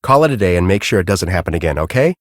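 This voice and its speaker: Deep-voiced grumpy American professor, swooping intonation. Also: male voice, big pitch swings, very fast, dry recording, clean studio sound. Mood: bossy, curt. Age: middle-aged. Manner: brusque, sarcastic, exasperated. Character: grumpy middle-aged male storm-chasing professor, bossy and cynical. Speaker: video game character, research team leader. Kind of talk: video game voice acting.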